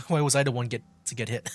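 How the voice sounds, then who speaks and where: A man's voice: medium pitch, steady tone, a little fast. A man streaming a video game, giving casual, amused commentary as he plays.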